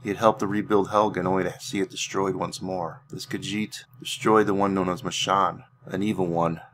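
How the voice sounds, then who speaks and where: A man with a low voice narrates a fantasy saga for a game roleplay. He speaks solemnly and deliberately, leaving dramatic pauses between phrases. There is faint background noise in the recording. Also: flat delivery, fairly bright tone. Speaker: host narrating a video game roleplay series.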